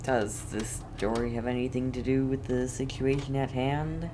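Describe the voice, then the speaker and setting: A woman's voice: a bass voice, steady tone, moderate pace, background noise. A woman gaming streamer giving laid-back, casual playthrough commentary in brief reactions.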